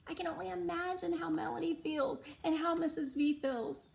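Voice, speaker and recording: A middle-aged or older woman reading a storybook aloud to schoolchildren, animated, with chatty asides of her own. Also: very high-pitched, normal speed, vocal fry, a little hiss or hum. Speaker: read-aloud host for schoolchildren.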